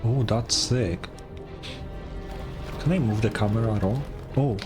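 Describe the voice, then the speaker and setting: A man's voice: lowish voice, unhurried. A man giving casual reactions while playing through a game, with game music under his voice. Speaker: streamer. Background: video game music.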